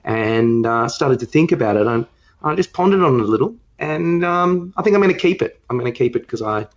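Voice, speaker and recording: A man's voice: medium pitch, expressive, brisk, muffled recording. A man co-hosting a podcast in casual, rambling chat, giving his opinions freely. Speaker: podcast co-host. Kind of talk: casual film review chat.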